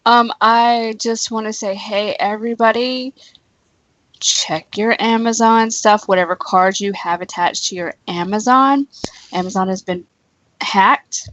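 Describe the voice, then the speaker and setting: A woman's voice: medium pitch, measured pace, fairly bright tone, dry recording, poor audio. Easygoing woman co-host, dry sound. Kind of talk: casual chat.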